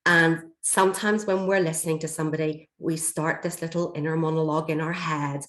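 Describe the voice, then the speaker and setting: A woman with a slightly hoarse, somewhat low voice leads an online workshop. She explains things steadily, with frequent ums. The sound comes through a video call from an echoey room. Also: fairly even intonation, fairly quick, fairly bright tone. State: slightly hoarse, coughing from a chest infection. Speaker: learning and development trainer. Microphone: computer mic on a video call.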